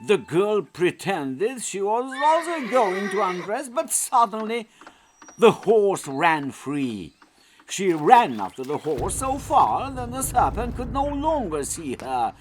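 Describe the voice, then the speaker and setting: A man's voice: high-pitched, sing-song, slow, background noise. A man reading a scripted children's story as its narrator.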